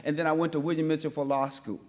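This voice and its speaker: A man from North Minneapolis addresses a forum audience with fervent conviction. His voice sits a touch high, and he circles back on words as he pushes his point. He speaks as a public speaker rallying a room. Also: level tone, fast talker, darkish tone. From North Minneapolis, USA. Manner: impassioned, repetitive restarts. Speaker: panel speaker. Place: forum hall with live audience.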